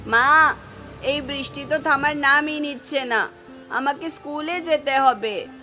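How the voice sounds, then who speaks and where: A woman's voice: high voice, slow, pushed and bright, faint music behind, full character voice. A woman voicing an earnest, pleading young schoolboy from a poor family in a cartoon. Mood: sad, resigned. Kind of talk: cartoon voice acting.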